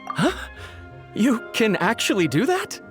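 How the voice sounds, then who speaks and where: An eager, high-voiced young servant. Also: male voice, wildly swooping pitch, slow pace, exaggerated voice. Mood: deferential. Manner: deferential, earnest. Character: loyal young male servant, eager, anxious, devoted. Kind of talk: English video game voice acting. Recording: clean voice over game music. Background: game music.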